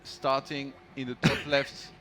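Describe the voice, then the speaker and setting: A man's voice: mid-range voice, level tone, unhurried, fairly bright tone. A man commentating on an esports tournament in a casual, joking, self-deprecating way. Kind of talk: casual banter during StarCraft tournament commentary. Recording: music behind the voice. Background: music.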